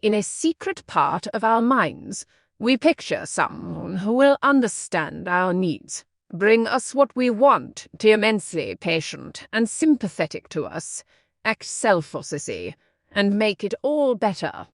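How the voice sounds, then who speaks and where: A woman's voice: medium pitch, very animated, unhurried, creaky, dry recording, studio quality. A woman reading a scripted practice passage aloud as a narrator.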